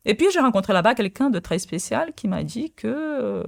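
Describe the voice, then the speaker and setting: A woman's voice: a little high, sing-song, moderate pace, gravelly, dry recording, clean studio sound. Hesitant woman. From Brazil. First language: Portuguese. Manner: hesitant, many fillers and restarts. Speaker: guest, quantum physicist. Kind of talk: podcast interview.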